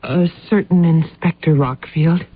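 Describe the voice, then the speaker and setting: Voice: medium pitch, unhurried, slightly rough, no echo. A performer playing a meek, evasive housewife in a radio drama, giving hesitant, guarded answers. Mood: uneasy, defensive. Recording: muffled lo-fi radio drama recording.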